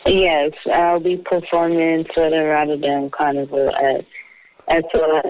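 A woman's voice: sits low, little pitch movement, unhurried, darkish tone, no room sound, rough recording. A woman from the United States, a singer taking part in a phone interview, talking in an upbeat, casual and self-assured way. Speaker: singer, guest on a phone call.

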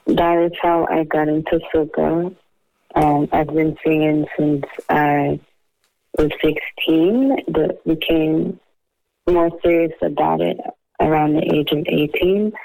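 A woman's voice: low-pitched, steady tone, slow, gravelly, mellow, poor audio. A woman from the United States, a singer taking part in a phone interview, talking in an upbeat, casual and self-assured way. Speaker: singer, guest on a phone call.

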